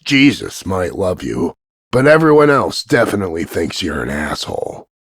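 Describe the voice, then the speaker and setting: A man voicing a cocky, gruff macho action hero, delivering scripted insults in a gravelly, husky, mocking deadpan drawl. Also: low-pitched, moderate pace, dry recording, clean studio sound. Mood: mocking, smug. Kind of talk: scripted voice-over demo of one-line insults.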